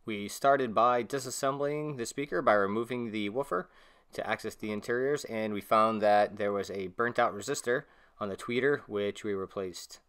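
A man's voice: fairly low pitch, normal speed, fairly bright tone, clear, resonant tone. A young man plainly explaining a DIY speaker build step by step, with some fillers, in a home garage. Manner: plain, matter-of-fact with fillers. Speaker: co-host of a DIY video.

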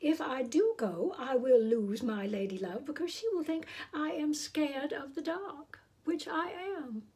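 Woman playing a high, wailing, fearful knight. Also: unhurried, hardly any pauses, a little mellow. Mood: despairing. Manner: melodramatic, wailing. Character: lovelorn male knight, fearful, melodramatically despairing, sweet-hearted. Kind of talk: storybook character reading.

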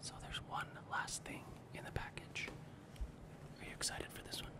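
A man in his thirties whispering close to a desktop microphone as he hosts an ASMR unboxing. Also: normal speed. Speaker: host of a family video channel, a dad. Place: indoor room at a wooden table. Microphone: desktop microphone on a small tripod, close up.

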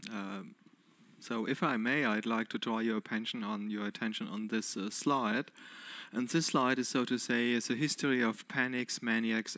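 A man's voice: lowish voice, fairly bright tone, dry recording. A man in his forties with a German accent, presenting as a panelist on a conference stage with a headset mic, hesitant and full of fillers. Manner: hesitant, many fillers, explaining a chart. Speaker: panelist, investment expert.

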